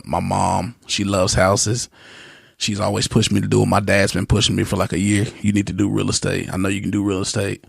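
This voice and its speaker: A man's voice: lowish voice, gravelly, breathy edge, no echo, studio quality. A young man as a podcast guest, talking in a casual, easygoing way with lots of fillers and restarts. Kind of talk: casual interview talk.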